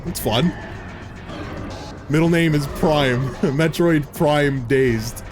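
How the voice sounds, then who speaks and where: A man in his thirties, a game streamer giving casual, excitable, jokey commentary while playing and laughing often. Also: medium pitch. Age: 30s. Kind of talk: casual live gameplay commentary.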